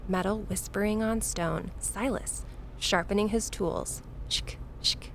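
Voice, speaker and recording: A woman's voice: medium pitch, steady tone, unhurried, a little hiss or hum, lightly acted. A woman playing a mystical ghost tour guide in an audio drama, ominous and savoring her spooky tales. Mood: eerie relish.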